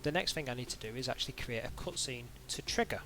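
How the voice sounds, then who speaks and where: A man narrating an informal software tutorial in a hesitant, halting way, as if thinking aloud. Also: mid-range voice, animated, music in the background. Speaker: tutorial instructor.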